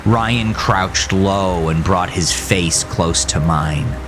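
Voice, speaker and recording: A man playing a haunted, bitter farmer who narrates his horror story in a grave, brooding, gravelly voice. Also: sits low, slow, music in the background. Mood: tense, grim. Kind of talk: scripted first-person horror narration.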